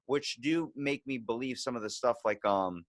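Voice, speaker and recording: A man's voice: mid-range voice, normal speed, no room sound, studio quality. A man hosting a podcast, chatting casually in a rambling, candid way and swearing freely as he tells personal stories. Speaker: podcast host.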